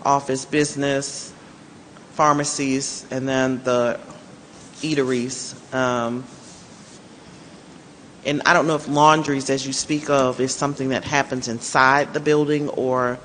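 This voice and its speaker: A man's voice: mid-range voice, flat delivery, a little slow, a bit bright, in a large hall, a little hiss or hum. A man on the planning staff explaining permitted uses at a public meeting.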